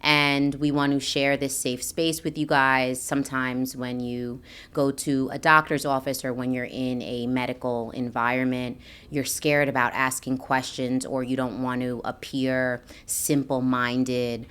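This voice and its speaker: A woman's voice: rumbling low, level tone, measured pace, a bit bright, clean tone. A woman co-hosting a podcast as a nurse, talking casually in an earnest, encouraging manner. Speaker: podcast co-host, registered nurse. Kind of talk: casual conversational podcast talk.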